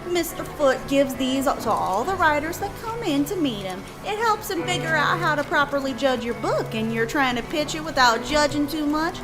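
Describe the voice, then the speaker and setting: A woman's voice: high voice, lively intonation, moderate pace, hardly any pauses, over music. A woman playing a bubbly, chatty receptionist in an audio drama, rambling along.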